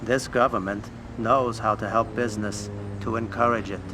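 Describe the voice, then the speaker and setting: An actor playing a shrewd elderly male crime boss, making measured speeches in a video game. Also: on the low side, fairly even intonation, background noise, close to an everyday voice. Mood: proud, stately. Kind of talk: video game cutscene acting.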